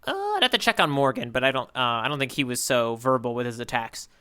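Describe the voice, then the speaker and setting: A man's voice: medium pitch, big pitch swings, fast talker, sharp tone, clear, resonant tone. A man co-hosting a podcast in a casual, rambling, playful way. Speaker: podcast co-host. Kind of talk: casual banter about manga.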